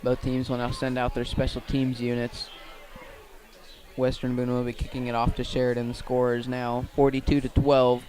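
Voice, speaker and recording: A man with an American accent calls a football game live for radio. His pitch stays fairly flat as he follows the action. He is a little halting, repeating and correcting himself as he goes. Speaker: play-by-play commentator. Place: outdoors at a football field.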